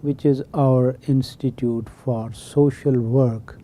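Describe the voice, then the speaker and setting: An older man with a Pakistani accent, a professor explaining his views in an interview in an emphatic, deliberate manner. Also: mid-range voice, steady tone, warm tone, slight background noise. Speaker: professor of Islamic studies.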